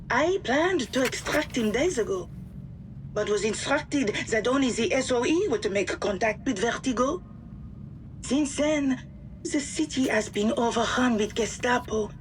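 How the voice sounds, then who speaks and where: An actress playing a serious leader, giving a formal, measured briefing in a war game. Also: female voice, fairly high pitch, slight background noise, natural voice, poor audio. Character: serious female French Resistance leader briefing an agent. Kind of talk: scripted mission briefing, game acting.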